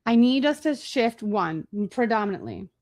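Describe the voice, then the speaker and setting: A woman hosting a marketing webinar, talking fast and casually with fillers and restarts. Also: a little high, big pitch swings, a little mellow. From Chicago, USA. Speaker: webinar host.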